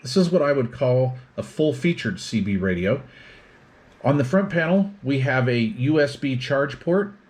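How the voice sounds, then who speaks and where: Male product reviewer, mid-pitched, methodical explainer walking through features in short, itemized phrases. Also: lively intonation, normal speed, darkish tone. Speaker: product reviewer demonstrating radio gear.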